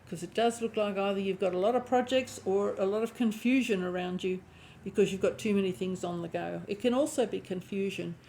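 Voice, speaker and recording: A woman with a croaky voice and a lingering cough giving an intuitive tarot reading, thinking aloud and often restarting her phrases. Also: medium pitch, fairly quick, few pauses, warm tone, a little hiss or hum, rough recording. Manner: musing aloud, restarting phrases, clearing throat. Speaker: tarot reader.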